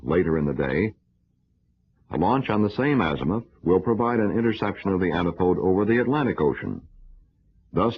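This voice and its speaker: A man delivering scripted educational film narration in a formal, measured, instructional manner. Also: deep voice, husky. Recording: dull, lo-fi old film soundtrack.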